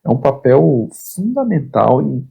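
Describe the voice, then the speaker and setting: A man, getting hoarse, teaches an online course lecture in short phrases and keeps checking in with the listener. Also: medium pitch, very animated, a little slow, narrow, tinny audio. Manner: explains in short phrases, constant "tá?" check-ins, repeats words. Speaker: instructor.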